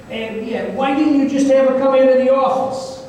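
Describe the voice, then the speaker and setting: A man's voice: very high-pitched, fairly even intonation, unhurried, darkish tone. A man playing a jaded, wry retired private investigator in an old time radio drama, hesitant and rambling. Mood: jovial, teasing. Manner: hesitant, rambling, filler-heavy storytelling. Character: jaded, wry retired small-town private investigator telling his tale. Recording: echoey hall, distant mic, faint noise.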